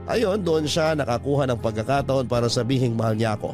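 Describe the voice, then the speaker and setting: A man's voice: mid-range voice, brisk. A man with a Filipino accent reading a scripted first-person letter as a radio drama narrator. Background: music under the voice.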